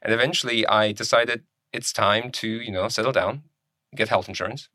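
A man's voice: fairly low pitch, moderate pace, sharp tone, dry recording. A man in his thirties from Germany, born in Poland, talking casually as a podcast co-host being interviewed.

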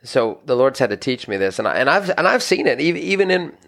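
Pastor, male, mid-pitched gravelly voice, earnest and chatty, trips over his words. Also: sing-song, fast, a bit bright. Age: adult, married. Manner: earnest, conversational, frequent restarts and "you know". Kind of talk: devotional podcast teaching.

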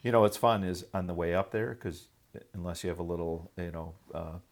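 A man with a fairly low voice talks as a podcast host. He is informal and chatty, pitching industry events with open enthusiasm in a conversational style. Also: brisk. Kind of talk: casual conversational promotion.

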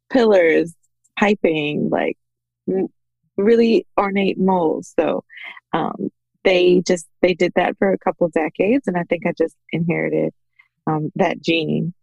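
A woman with a somewhat low voice and noticeable vocal fry chats as a podcast guest. She tells her own story in a conversational way, livening up when she quotes others. The recording is dry and close. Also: a little mellow, no echo, muffled recording. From Bahamas. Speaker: podcast guest, small business owner. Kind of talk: conversational personal storytelling in an interview.

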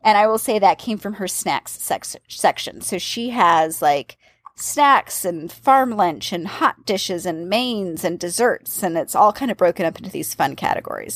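A woman's voice: on the low side, dry recording. A woman chatting casually, walking through a recipe step by step. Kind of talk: casual chat about cookbooks.

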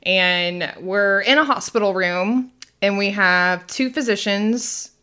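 A woman's voice: mid-range voice, slow, pushed and bright, clear, resonant tone. Woman podcast host, chatty and explainy, laughs easily, just talking casually. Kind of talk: casual conversational podcast commentary.

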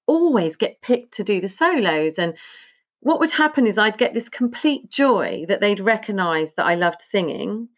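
A talkative woman in her fifties tells her story as a podcast guest, rambling warmly from one thought to the next with the odd laugh. Her voice sits at a middling pitch. The recording sounds a little dull and muffled. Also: sing-song, normal speed. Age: 50s. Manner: chatty, rambling, laughs often. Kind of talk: casual interview chat.

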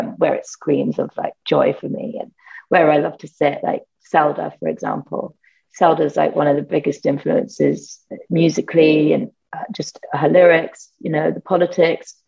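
Creaky British woman, rambling enthusiastically. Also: deep voice, steady tone, unhurried, a little mellow, lo-fi sound. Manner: enthusiastic, rambling, heavy use of "like" fillers. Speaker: guest musician. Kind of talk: casual interview conversation.

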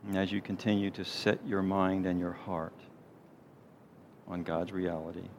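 Low-voiced man leading a gentle guided meditation. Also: flat delivery. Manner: gentle, unhurried, soothing delivery with pauses. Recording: clear background noise, low rumble.

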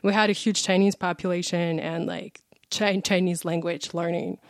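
A woman's voice: fairly low pitch, steady tone, moderate pace, no echo, clean studio sound. A woman in her thirties, born and raised in Russia, chatting as a podcast guest and explaining things with examples. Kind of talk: casual podcast interview.

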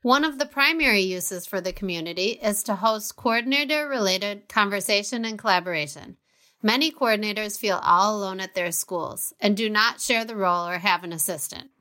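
A woman reading a scripted promotional segment with an even, read-aloud delivery. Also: mid-range voice, a little fast. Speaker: online community moderator.

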